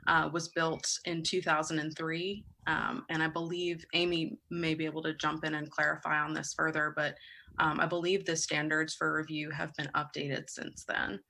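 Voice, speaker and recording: A woman answering questions as a staff planner at a public meeting, explaining facts in a careful, measured way. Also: deep voice, little pitch movement, gravelly, sharp tone.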